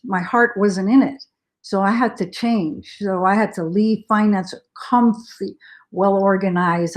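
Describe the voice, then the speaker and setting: A woman's voice: medium pitch, a little mellow. A middle-aged woman from Switzerland, giving earnest career advice on a webinar panel, talking with frequent hesitations and fillers. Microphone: video call.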